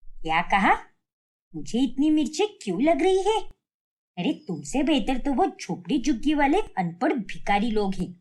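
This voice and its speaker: A woman voicing a short-tempered middle-aged mother in a cartoon family story, with a sharp, nagging, indignant manner. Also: on the high side, big pitch swings, normal speed. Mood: angry, scolding.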